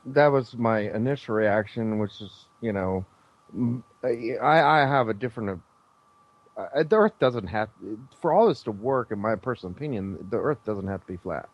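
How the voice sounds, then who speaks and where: Low-voiced man, halting and opinionated. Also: animated, dry recording, dull audio. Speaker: interview guest, former air traffic controller. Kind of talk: conversational radio interview.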